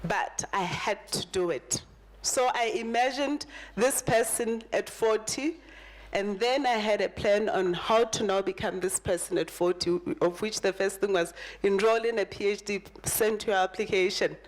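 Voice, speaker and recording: A woman's voice: mid-range voice, a little slow, poor audio. A woman in her thirties giving a motivational keynote talk, telling her own story and repeating phrases for emphasis. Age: 30s. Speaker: keynote speaker at a summit.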